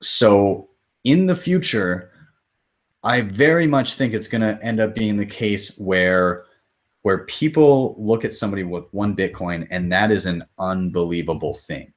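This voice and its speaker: A man's voice: fairly low pitch, measured pace. A man in his thirties hosting a casual live question-and-answer stream from a small room at home. He talks loosely and swears casually, reading out viewer comments and answering them off the cuff. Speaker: crypto channel host.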